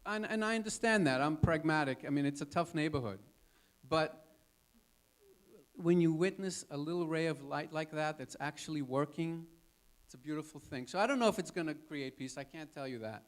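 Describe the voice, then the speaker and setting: A man's voice: a little high, moderate pace. A man in his fifties speaking informally as a guest in an audience question session at an expo, in a conversational way. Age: 50s. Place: expo stage before a live audience. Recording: live stage talk with audience noise.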